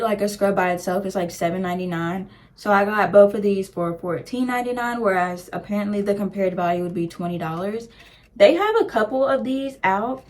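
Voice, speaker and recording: A young woman, chatting casually and gushing over products in a beauty review, full of "like" fillers. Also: medium pitch. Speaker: beauty vlogger.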